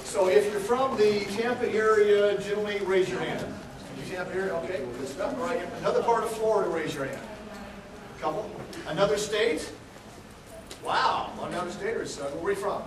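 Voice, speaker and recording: A high-voiced man talks casually to a live audience, cracking jokes and asking the crowd questions. He is from Texas. The recording has an echoey hall sound, with the mic set well back from him and steady background noise. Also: brisk, distant mic. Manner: joking, chatty, asks the audience questions. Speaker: aquarium CEO giving a talk. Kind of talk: casual public talk to a crowd. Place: large indoor room with an audience.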